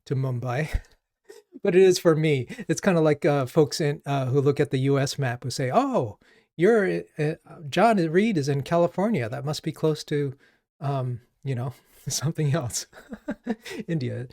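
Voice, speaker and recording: A man from Japan hosting a coding livestream as a coach, chatting casually and haltingly as he thinks aloud. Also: on the high side, expressive, a little mellow, dry recording, studio quality.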